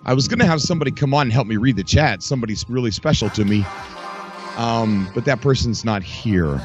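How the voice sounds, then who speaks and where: A man's voice: mid-range voice, expressive, fairly quick, dull audio. Chatty man hosting a live stream. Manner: chatty, rambling, upbeat, greets chat viewers by name. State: voice recovering from loss, skipping a beat. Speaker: host of a live gaming stream. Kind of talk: casual live-stream chat. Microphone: desk mic. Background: faint game music.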